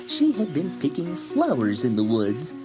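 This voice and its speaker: A woman narrating a children's story expressively, with gentle, exaggerated emphasis for young listeners. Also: low voice, wildly swooping pitch, measured pace. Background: music.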